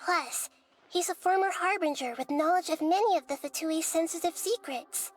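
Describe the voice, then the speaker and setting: A woman voicing a small, wise, childlike goddess in an anime game, gentle, composed and measured in her explanations. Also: extremely high pitch. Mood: serious, explanatory. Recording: soft music behind the voice.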